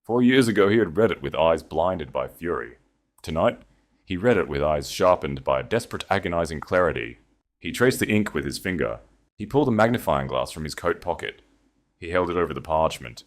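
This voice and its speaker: A man narrating a dramatic historical romance audio story in a measured, scripted delivery. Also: sits low, lively intonation.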